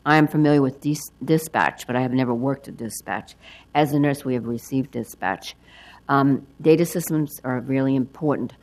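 An older woman with a hoarse, froggy voice giving rambling public comment at a council hearing. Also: rumbling low, moderate pace, a little mellow. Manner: rambling, chatty. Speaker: public testifier.

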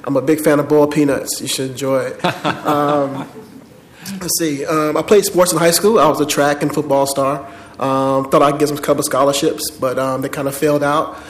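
An American man in his thirties telling his own story to an audience, casually and haltingly, into an event microphone. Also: on the high side, fairly even intonation. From born in the Bahamas, grew up in Miami and Atlanta, United States. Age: 30s. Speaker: speaker, army veteran. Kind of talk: informal personal storytelling to an audience. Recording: echoey room.

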